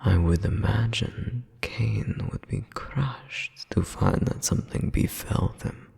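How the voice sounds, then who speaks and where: A man playing a cold, menacing royal demon brother in an audio roleplay, haughty and deliberate, with a breathy, husky voice. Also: fairly low pitch, a little mellow, whispered, exaggerated voice. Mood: veiled menace. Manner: haughty, slow, deliberate, veiled threats. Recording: long reverberant hall echo.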